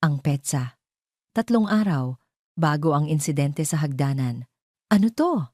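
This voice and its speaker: A woman's voice: sits low, animated, measured pace, warm tone, no room sound, clean studio sound. A woman narrating a scripted drama story in a dramatic style.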